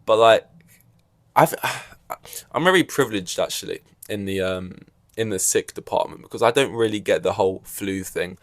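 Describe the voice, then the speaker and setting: A man's voice: fairly low pitch, moderate pace, no echo. A young man hosting a casual solo podcast, rambling with wry asides and a slightly scratchy throat. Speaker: podcast host.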